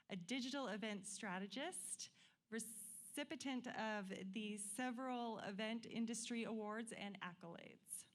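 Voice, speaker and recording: A woman emcee formally reading a speaker introduction at a conference. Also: on the high side. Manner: formal, reads from notes. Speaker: event emcee. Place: conference room with audience.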